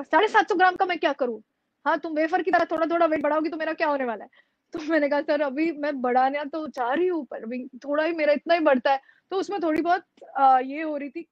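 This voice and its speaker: A woman's voice: high voice, dry recording, highs cut off. A young woman from Mumbai telling a story in a casual interview, chatty, with lots of fillers and English mixed in. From India, Mumbai. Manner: chatty, mixes English into Hindi, many fillers. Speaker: actress being interviewed.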